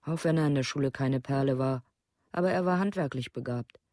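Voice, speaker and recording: Casting: male actor as bereaved protective parent, mid-pitched, dry studio sound, plain-spoken, radio drama. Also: brisk, darkish tone, dry recording, lightly acted. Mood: defensive. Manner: plain, short sentences. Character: grieving, protective parent of a murdered boy. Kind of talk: documentary radio play monologue.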